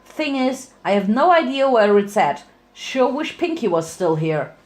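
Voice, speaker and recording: A woman's voice: fairly high pitch, big pitch swings, unhurried. A woman voicing a plain-spoken, practical young female farm pony with folksy phrasing. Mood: matter-of-fact.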